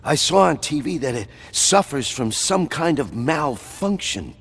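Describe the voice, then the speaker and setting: A man playing a worried, suspicious city resident in a video game street interview, with a husky voice. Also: a little high, big pitch swings, a little slow, fairly bright tone, a little hiss or hum, heavily acted.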